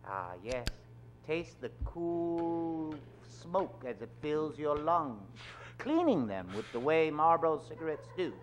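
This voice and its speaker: A man in middle age narrating an old-time radio drama on stage, suave, with dramatic pauses. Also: fairly high pitch, very animated. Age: middle-aged. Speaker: host and announcer of a radio show. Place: stage of a small theatre. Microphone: vintage-style stand microphone.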